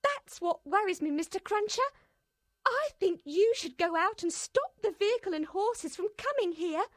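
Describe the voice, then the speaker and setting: A woman's voice: very high voice, animated, moderate pace, dry recording, clean studio sound, voice pushed up. A woman voicing an anxious, tearful English spinster who frets and pleads. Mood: tearful worry. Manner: fretful, pleading.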